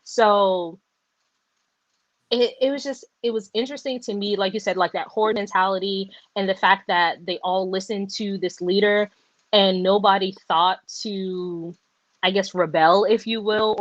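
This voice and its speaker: Casual book-chat woman, rambly and lively, dry dull video-call sound. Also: medium pitch, unhurried, no room sound. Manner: rambling, animated, many fillers and restarts. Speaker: book discussion co-host.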